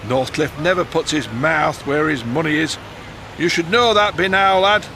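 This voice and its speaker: Radio drama: blunt, teasing Northern English newspaper editor, high-pitched, with clear vehicle noise behind. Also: male voice, moderate pace, sharp tone. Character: blunt, canny newspaper editor, man, of the 1910s. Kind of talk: audio drama acting. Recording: noisy moving-car ambience behind.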